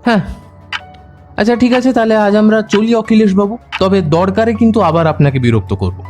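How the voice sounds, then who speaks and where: An actor playing a composed, polite but insistent private detective in an audio drama, speaking in a measured way. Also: male voice, sits high, darkish tone, natural voice. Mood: cool, suspicious. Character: composed, probing male private detective questioning suspects. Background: background music.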